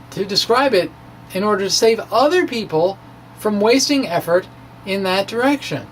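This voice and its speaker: A man's voice: high-pitched, a little hiss or hum, poor audio. A man telling his own science story from a blog in a conversational, hesitant way, with wry, self-deprecating humor. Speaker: amateur physicist and blogger. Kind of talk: dramatic reading of a personal science blog.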